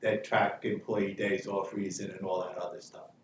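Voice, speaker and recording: A man chairing an informal village meeting, talking in a halting, matter-of-fact way. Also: deep voice, flat delivery. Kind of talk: informal council meeting discussion. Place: meeting room, picked up from a distance. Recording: echoey room, distant mic, faint noise.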